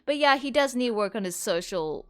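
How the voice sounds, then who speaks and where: A woman's voice: a little high, animated, normal speed, fairly bright tone, smooth, faint music behind. A woman giving casual let's-play commentary, chatty and thinking aloud. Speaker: gaming streamer.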